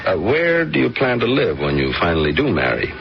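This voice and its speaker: An actor playing a patient, measured middle-aged father in a radio drama. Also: low-pitched, huge pitch swings, vocal fry, heavily acted. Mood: measured, probing. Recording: dull, noisy old radio recording.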